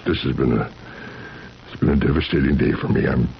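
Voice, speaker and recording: An actor playing a weary, distressed seventy-year-old husband in a 1970s radio drama, halting and repeating himself with quiet insistence. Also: male voice, extremely low pitch, fairly even intonation, gravelly. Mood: weary, resigned. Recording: dull, noisy vintage radio recording.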